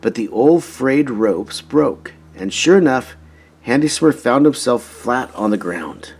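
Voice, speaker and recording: A man reading a children's storybook aloud in an expressive, emphatic way. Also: mid-range voice, takes it slowly, husky. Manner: animated, emphatic, dramatizing story moments. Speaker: storybook reader.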